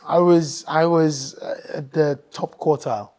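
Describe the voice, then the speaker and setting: A man from Nigeria, a guest in a casual interview, talking thoughtfully with many pauses and restarts. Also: on the high side, steady tone, darkish tone.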